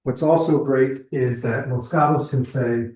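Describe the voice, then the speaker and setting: A man's voice: medium pitch, steady tone, a little slow, darkish tone, roomy sound, low-quality recording. A man giving a public lecture in a conversational, explanatory way, listing examples as he goes. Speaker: lecturer on whale entanglement response. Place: lecture hall.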